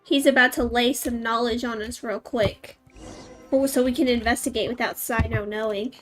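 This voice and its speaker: Female game streamer: high-pitched, leisurely, offhand and playful commentary over soft game music. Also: fairly even intonation, moderate pace, low-quality recording. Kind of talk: casual gameplay commentary.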